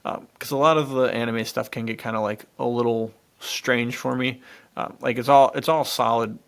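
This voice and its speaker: A man's voice: medium pitch, steady tone, normal speed, gravelly. A young American man chatting casually and enthusiastically as a podcast co-host, with a lot of slang. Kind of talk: casual chat.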